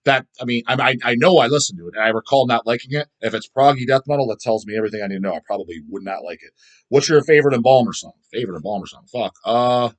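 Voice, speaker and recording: A man's voice: mid-range voice, rapid-fire. A middle-aged man hosting a casual video, rambling through his opinions in a blunt, crude, emphatic way with heavy swearing. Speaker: host answering viewer comments.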